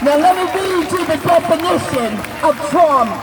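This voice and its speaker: A woman in her sixties giving an impassioned rally speech outdoors, declaiming in drawn-out phrases and shouting key words for emphasis. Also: very high-pitched, animated. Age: 60s. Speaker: rally speaker. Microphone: unamplified, distant camera. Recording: distant, echoey outdoor recording with crowd. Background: crowd noise.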